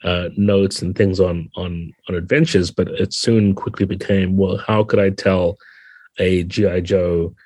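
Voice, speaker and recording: A middle-aged man with a New Zealand accent, talking reflectively and explaining things in a relaxed interview. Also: low voice, unhurried, no room sound. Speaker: podcast guest, game designer.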